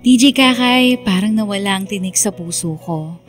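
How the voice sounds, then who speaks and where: A woman's voice: medium pitch, moderate pace, soft background music, natural voice. A woman playing a heartbroken young woman who narrates her story sincerely, as a confession in a radio drama. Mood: relieved, tender. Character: heartbroken young woman letter-sender, reflective and accepting.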